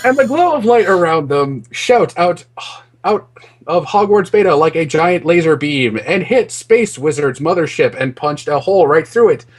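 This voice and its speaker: Animated higher-voiced man reading fan fiction. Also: normal speed. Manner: mock-earnest, often breaking into laughter. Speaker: podcast host reading fan fiction aloud. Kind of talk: comic dramatic reading.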